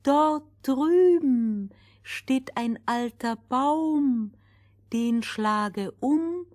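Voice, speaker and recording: A woman voicing a kindly little fairy-tale man who speaks in a slow, solemn, drawn-out way. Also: sits high, a little mellow, pitched above the performer's own voice.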